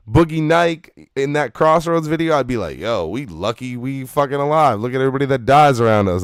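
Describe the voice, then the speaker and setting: A man's voice: mid-range voice, no room sound, clean studio sound. A man co-hosting a live stream, talking casually and emphatically, with frequent swearing and repeated phrases. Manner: emphatic, profanity-laced, repeats himself. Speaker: podcast co-host discussing hip-hop.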